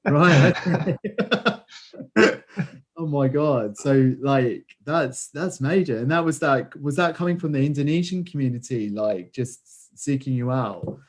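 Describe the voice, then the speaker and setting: A man's voice: mid-range voice, takes it slowly. Enthusiastic male interviewer on a video call. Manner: enthusiastic, laughs often, stumbling restarts in questions. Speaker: interviewer host.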